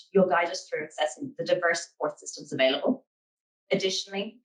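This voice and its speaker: A woman's voice: lowish voice, fairly bright tone, far from the mic, low-quality recording. A woman hosting a webinar, reading a polished prepared introduction. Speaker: host.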